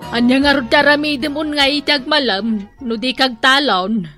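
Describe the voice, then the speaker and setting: Radio comedy-drama: chiding Ilocano farm wife, high-pitched, sharp, practical. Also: female voice, moderate pace, faint music behind.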